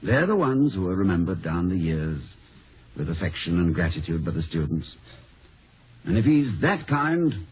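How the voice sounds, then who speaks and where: Wry college president, old radio. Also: male voice, low-pitched, big pitch swings, moderate pace, a little mellow, husky, heavily acted. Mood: earnest. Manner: wordy, dryly witty, mock-pompous. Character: urbane, wry, sentimental middle-aged male college president. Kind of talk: 1950s radio comedy-drama acting. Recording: dull, hissy 1950 radio recording.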